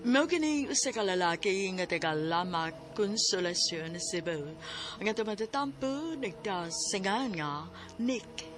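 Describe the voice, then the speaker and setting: A woman giving measured, dramatic narration for a radio drama. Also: medium pitch, animated. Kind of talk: scripted narration. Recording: narration over loud music.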